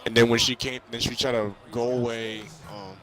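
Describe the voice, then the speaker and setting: A man casually chatting through a movie review, haltingly, often repeating and restarting his phrases. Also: mid-range voice, little pitch movement, fairly bright tone. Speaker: video host. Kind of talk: casual chat, movie review over a video game. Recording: basketball game crowd and bouncing behind. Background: basketball video game crowd and ball bounces.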